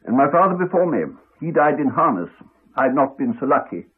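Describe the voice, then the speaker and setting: An actor playing an elderly retired village doctor in a radio drama, halting, courteous and rueful. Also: male voice, medium pitch, very animated, husky. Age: older. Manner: halting, apologetic, rambling, courteous. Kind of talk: 1980s radio drama acting. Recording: muffled narrow-band 1980s radio recording.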